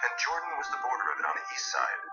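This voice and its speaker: A man narrating scripture from a script in a solemn, measured, formal manner. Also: medium pitch, big pitch swings. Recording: dull, lo-fi radio-like sound. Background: music.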